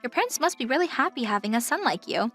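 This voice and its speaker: Give me a woman acting a high-voiced, courteous young travel agent. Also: big pitch swings, quick pace, fairly bright tone, dry recording, soft background music, exaggerated voice. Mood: shy, affectionate. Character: kind, professional young female travel agent, gently firm. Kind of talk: anime-style manga dub acting.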